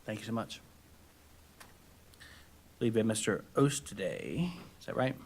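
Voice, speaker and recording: Low-voiced man chairing a hearing. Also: animated, moderate pace, darkish tone. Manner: brief, procedural. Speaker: board chairman. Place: county board meeting room.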